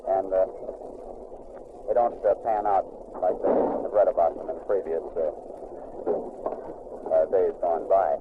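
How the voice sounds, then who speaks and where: A man's voice: low-pitched, steady tone, measured pace, long pauses. A man conducting a wartime radio interview aboard a navy ship, chatty and prompting, with light teasing. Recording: tinny lo-fi 1940s radio recording with hiss.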